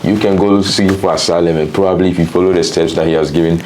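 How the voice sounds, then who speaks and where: A man hosting a casual interview, with a very deep, low-pitched voice. He speaks in a measured, affirming way to his viewers. Faint noise hums under the recording. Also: fairly even intonation, darkish tone, low-quality recording. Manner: affirming listener, brief interjections. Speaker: host.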